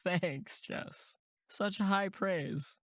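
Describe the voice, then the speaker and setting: A woman's voice: medium pitch, very slow, no room sound. A woman voicing a protective, dry-humored young male camp counselor in an audio drama, casual and reassuring. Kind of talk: horror audio drama acting.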